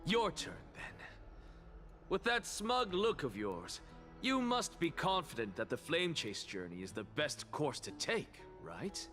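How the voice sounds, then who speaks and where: A man's voice: on the high side, huge pitch swings, takes it slowly, fairly bright tone, faint music behind, heavily acted. A man playing an arrogant, provocative scholar in a video game, speaking in a theatrical, mocking, self-assured way. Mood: mocking, goading. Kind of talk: dramatic video game voice acting.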